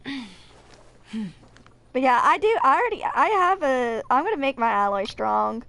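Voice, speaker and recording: A young woman with a fairly high-pitched voice gives casual video game commentary. She talks like a streamer at home with her game and trips over the odd word when reading aloud. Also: lively intonation, slow, vocal fry, a bit bright, dry recording. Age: 20s.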